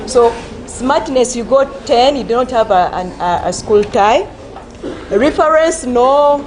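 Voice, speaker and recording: Woman, high voice, school debate judge, halting, repeats words, faint noise behind. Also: expressive, a little mellow, poor audio. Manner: slow, halting, many fillers and repeats. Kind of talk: reading scores and advising pupils. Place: school assembly.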